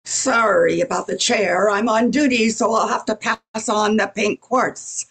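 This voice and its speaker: A woman's voice: mid-range voice, fairly even intonation, a little slow, creaky, a bit bright, husky, heavily acted. A woman playing a huge, stolid alien police inspector with formal speech in an amateur radio play. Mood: businesslike. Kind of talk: amateur old-time radio play acting.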